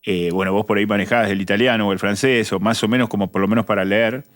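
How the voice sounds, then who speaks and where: A man's voice: fairly low pitch, fairly even intonation, fast talker. A man with a Rioplatense accent talking hesitantly on a talk show, with frequent fillers. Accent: Rioplatense Spanish. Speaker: co-host.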